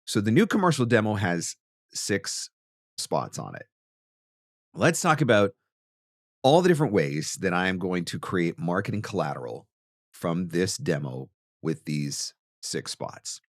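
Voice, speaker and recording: A man's voice: deep voice, big pitch swings, a little slow, no room sound, clean studio sound. A man hosting a podcast, giving marketing advice in an emphatic, conversational way and speaking straight to the listener. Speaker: podcast host; voice actor.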